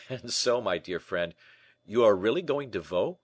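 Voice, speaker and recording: A man voicing a shrewd, brisk gentleman in an audiobook, animated, questioning and a little impatient. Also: fairly low pitch, slightly rough, no echo, clean studio sound. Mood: curious. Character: D'Artagnan, brisk, shrewd, exclaiming gentleman friend. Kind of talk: audiobook character acting.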